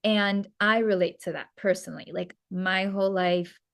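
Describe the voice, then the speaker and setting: Earnest reflective woman guest, mid pitch, dry close sound. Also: fairly even intonation, moderate pace, gravelly, fairly bright tone, no room sound. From San Diego and Mexico. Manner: earnest, reflective, restarts often. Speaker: actress guest. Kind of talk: casual interview.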